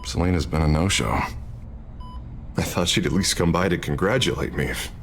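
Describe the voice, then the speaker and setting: An actor playing an injured politician in a video game drama, hiding wounded pride behind wry, halting, self-deprecating bravado. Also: male voice, low voice, a bit bright, a little hiss or hum. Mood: hurt, dejected.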